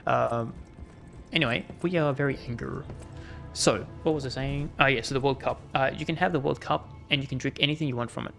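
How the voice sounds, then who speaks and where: A man's voice: mid-range voice, a little fast, vocal fry, a bit bright, soft background music. A man streaming a video game, rambling with jokey asides as he plays. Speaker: gaming streamer. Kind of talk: casual gameplay commentary.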